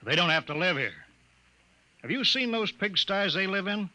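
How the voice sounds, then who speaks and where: An actor playing a gruff, bigoted senior colonial official in 1950s radio style, with a brusque, bossy, slangy delivery. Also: male voice, sits high, expressive, moderate pace, sharp tone, heavily acted. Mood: scornful. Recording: vintage 1950s radio drama recording.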